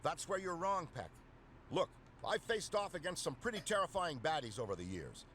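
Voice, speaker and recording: Animated, eager Ghostbuster scientist. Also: male voice, fairly high pitch, moderate pace, a little hiss or hum. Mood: excited, foreboding. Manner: earnest, animated, dramatic. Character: eager veteran Ghostbuster scientist, an excitable middle-aged man. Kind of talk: scripted video game voice acting.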